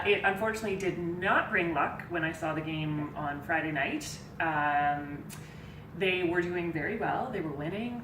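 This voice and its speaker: Woman in her 30s, easygoing host, lowish voice, echoey room, camera far off. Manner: relaxed, laughs easily. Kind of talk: casual sports chat. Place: living room couch. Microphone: far camera mic. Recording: roomy, distant, hissy.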